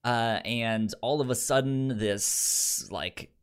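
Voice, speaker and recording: A man's voice: mid-range voice, takes it slowly, clear, resonant tone. A man co-hosting a podcast in casual recap chat, often stammering and repeating the starts of words. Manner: acts out quotes in playful raised voices. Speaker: podcast co-host.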